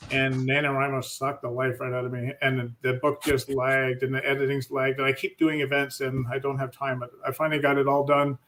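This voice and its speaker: A man's voice: medium pitch, monotone, a little fast, hardly any pauses, fairly bright tone, a little hiss or hum. A man co-hosting a live show, talking eagerly and casually about his own work. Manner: eager, laughing, conversational. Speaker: co-host and author. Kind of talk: casual chat.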